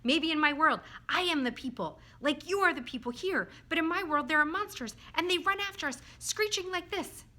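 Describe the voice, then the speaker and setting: A small, childlike male storybook creature, played by a woman, earnest and easily spooked. The voice sits high and bright, with big dramatic swings in pitch. It sounds excitable and very sincere. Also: heavily acted. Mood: dramatic, excited. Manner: childlike, earnest, dramatic, halting when scared.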